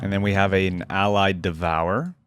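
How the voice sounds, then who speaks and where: A man's voice: deep voice, little pitch movement, moderate pace, music in the background. A man hosting a reaction video, giving casual commentary in an offhand, conversational way.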